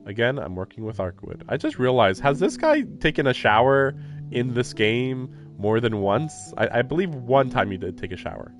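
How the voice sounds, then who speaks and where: A man's voice: mid-range voice, sing-song, moderate pace. A man live-streaming a visual novel, reading the text aloud and adding casual, wry, offhand comments. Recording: faint game music behind the voice. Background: game soundtrack.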